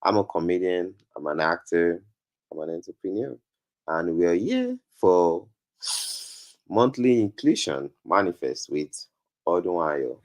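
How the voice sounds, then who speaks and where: A man's voice: low voice, very animated, a little slow. A man from Nigeria with a Nigerian accent, chatting casually as a comedian guest on a talk show.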